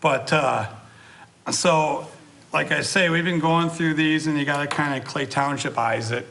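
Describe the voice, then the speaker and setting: A man's voice: a little high, measured pace, gravelly, pushed and bright. A man from chairing a township board meeting, talking in a rambling way with many restarts.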